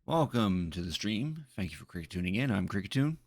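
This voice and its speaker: A man chatting casually as a live streamer, speaking in a hesitant, halting way and often repeating his words. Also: lowish voice, very animated, no echo.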